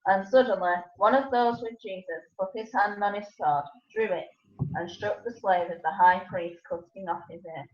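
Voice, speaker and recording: A woman's voice: mid-range voice, normal speed. A woman giving a scripted narration of scripture as the narrator of a dramatised reading. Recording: echoey room, dull lo-fi sound.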